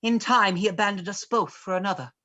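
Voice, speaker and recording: A woman's voice: mid-range voice, a little fast, sharp tone. Woman playing a bitter, scheming male heir. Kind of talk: dramatized stage play script reading.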